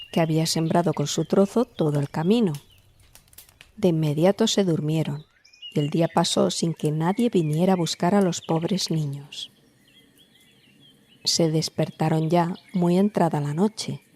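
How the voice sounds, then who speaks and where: A woman narrating a scripted fairy tale as a storyteller, in a measured, dramatic way. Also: deep voice, warm tone, slight background noise.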